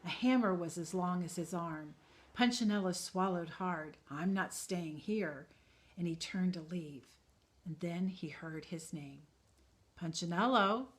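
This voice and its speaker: Slow, calm woman reading a storybook. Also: on the low side. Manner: slow, calm, expressive read-aloud. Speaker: teacher reading aloud.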